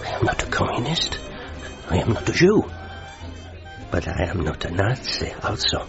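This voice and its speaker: A man playing a bitter, frightened Berliner in a radio drama, with a German accent and a halting, confiding manner. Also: deep voice, very animated, vocal fry, heavily acted. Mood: haunted. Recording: muffled radio drama with music behind.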